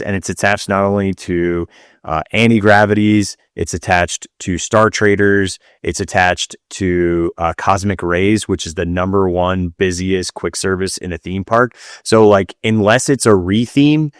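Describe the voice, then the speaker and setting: A man's voice: low-pitched, smooth, no echo, studio quality. A man co-hosting a podcast, explaining his opinions in a casual, conversational way. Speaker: podcast co-host.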